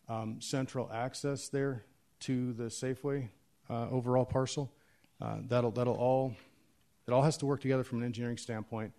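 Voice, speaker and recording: A man with an ordinary mid-range voice answers questions at a public meeting. He explains step by step, pausing on fillers and restarting his sentences. His delivery is measured and practical. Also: medium pitch, dark tone.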